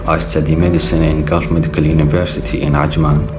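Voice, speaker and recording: A young man with a low, deep voice reads his own prepared account in a measured way. Clear music runs underneath him. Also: little pitch movement. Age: young adult. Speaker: medical student. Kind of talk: scripted personal account.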